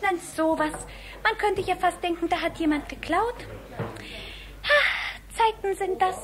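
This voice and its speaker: A woman playing a pert, outspoken and lively little girl in a 1960s German children's radio play. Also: very high-pitched, a little slow. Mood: mock indignant. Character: cheeky, lively little girl from a well-off family. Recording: noisy old vinyl, somewhat distant.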